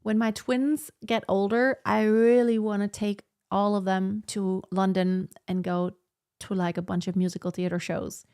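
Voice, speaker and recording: Thirty-something woman vocal coach, rambly live-stream chat, lots of um and like, middle pitch. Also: flat delivery, unhurried, warm tone, smooth. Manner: chatty and rambling, many fillers and restarts. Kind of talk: casual live-stream chat reading viewer comments. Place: home in southern Germany.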